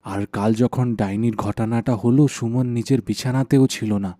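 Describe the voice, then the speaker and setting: A worried village husband from a cartoon folk tale, acted by a man. His voice is soft-edged and mid-pitched, suspicious and prone to anxious exclamation, recorded dry and close. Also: fairly even intonation, normal speed, dry recording, natural voice. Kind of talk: animated folk tale dialogue.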